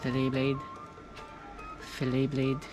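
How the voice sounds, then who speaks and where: A woman's voice: very deep voice, completely flat delivery, takes it slowly. A woman streamer giving casual live commentary on a game in short, offhand reactions. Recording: loud game music and effects behind.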